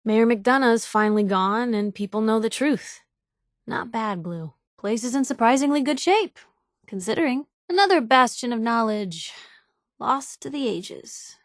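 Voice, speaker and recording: A young woman reporter roaming a post-apocalyptic game world. Her voice sits on the high side, and she talks in a wry, conversational way, plucky and quick with a sardonic quip. The recording is close and dry, with no room around it. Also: animated, no echo. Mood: wry, musing. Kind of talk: video game companion voice acting.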